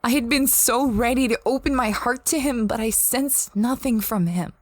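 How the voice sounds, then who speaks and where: A woman's voice: fairly high pitch, normal speed, no room sound, natural voice. A woman playing a space explorer who recounts a strange expedition in a conversational, first-person audio drama style. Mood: wounded, intense. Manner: reflective, conversational storytelling.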